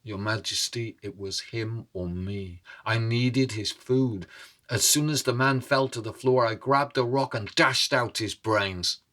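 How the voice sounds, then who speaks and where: A man voicing an unrepentant old male thief in a storytelling performance, deliberate and pleading as he addresses a king. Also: medium pitch, a bit bright, lightly acted. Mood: defensive, intense. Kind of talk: dramatic oral storytelling.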